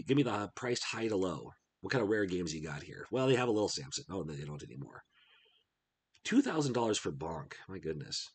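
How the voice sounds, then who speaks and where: A middle-aged man talks to camera from his desk at home. His voice is husky and stuffed-up from a cold, and it sits low but swings widely. He muses aloud in a chatty, rambling way while browsing. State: congested, voice shot from a cold. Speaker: retro game channel host. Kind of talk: casual screen-share commentary.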